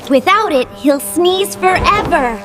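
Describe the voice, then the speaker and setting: A very high, bouncy little panda cub, cheerful and caring, speaks in an unhurried cartoon style. Wide-eyed exclamations stretch out and swoop up and down over prominent music. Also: female voice, lively intonation. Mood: worried. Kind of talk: children's cartoon voice acting.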